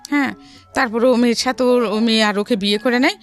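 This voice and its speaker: A middle-aged woman with a Bangladeshi accent telling her life story in a studio interview, haltingly and often repeating phrases. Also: on the high side, fairly even intonation. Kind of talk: personal storytelling in a radio interview. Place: radio studio. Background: background music.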